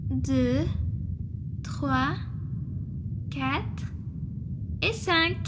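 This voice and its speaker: A woman voicing a gentle, protective water monster girl nurse in an ASMR roleplay, soothing and attentive. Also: high voice, animated, drawn-out words, heavily acted. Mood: calm, encouraging. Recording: clearly noisy background with ambience.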